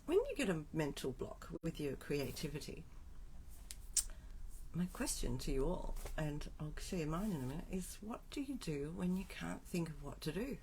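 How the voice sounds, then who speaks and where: A woman's voice: sits low, big pitch swings, moderate pace, warm tone. A woman with a scratchy voice from a cold, chatting casually while she demonstrates card making on a live stream. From Australia. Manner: chatty, asides to herself while setting up. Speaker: craft demonstrator.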